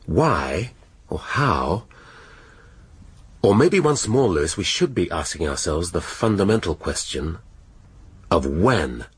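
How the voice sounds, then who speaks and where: An actor with a British accent playing a dry, probing middle-aged police inspector in a radio drama. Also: male voice, deep voice, sing-song, takes it slowly, pauses often, breathy edge, exaggerated voice. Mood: puzzled, pondering. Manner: dry, questioning, sardonic.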